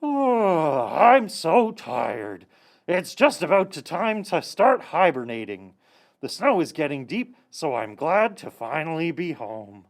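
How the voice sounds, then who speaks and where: An actor voicing a kindly, caring forest animal in a children's puppet show, with a gentle, expressive delivery. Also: medium pitch, a little slow. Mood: weary.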